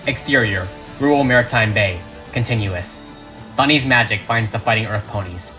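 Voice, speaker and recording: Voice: medium pitch, moderate pace, pushed and bright, faint music behind. A narrator reading a script aloud in a dramatic, emphatic, theatrical style.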